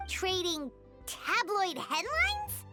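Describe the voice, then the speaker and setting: A tiny, easily flustered fairy girl from a video game, with an extremely high, squeaky, childlike voice. The delivery is exclamatory and swings wildly in pitch, with music underneath. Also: huge pitch swings, very slow, sharp tone, exaggerated voice. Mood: indignant, worried. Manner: exclamatory, dramatic, talks about self in third person.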